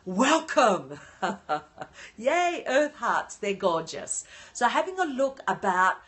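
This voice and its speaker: An older woman hosting a casual livestream from her apartment on her phone, often pausing to greet and thank viewers. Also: on the high side, very animated, a bit bright. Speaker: livestream host. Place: at home in an apartment.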